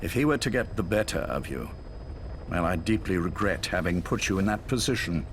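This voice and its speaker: An actor playing an older male spymaster who speaks in a calm, courteous, probing way. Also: deep voice, a little fast, slight background noise. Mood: measured.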